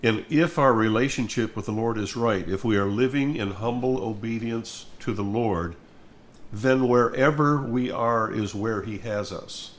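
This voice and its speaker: A man's voice: fairly low pitch, unhurried. An older man in an earnest, reflective devotional conversation, speaking in a preachy way and repeating phrases for emphasis.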